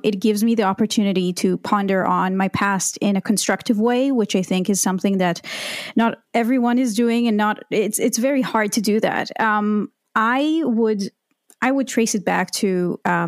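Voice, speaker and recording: A woman's voice: mid-range voice, moderate pace, no echo, clean studio sound. A woman, a podcast guest telling her story in a reflective, candid way. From Israel. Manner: reflective and candid, with frequent ums and restarts. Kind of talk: conversational storytelling.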